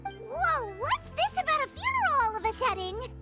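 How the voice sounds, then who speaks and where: A woman voicing a tiny, chatty fairy-like companion in a game, bouncy and squeaky, talking about herself by name. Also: very animated, normal speed, exaggerated voice. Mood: startled. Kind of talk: game cutscene voice acting. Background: game music.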